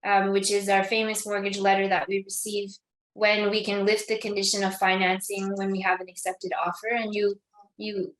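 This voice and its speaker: A woman co-hosting a money podcast on a video call, a real estate agent talking with "um" fillers. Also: mid-range voice. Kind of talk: casual chat on a call. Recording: echoey call audio.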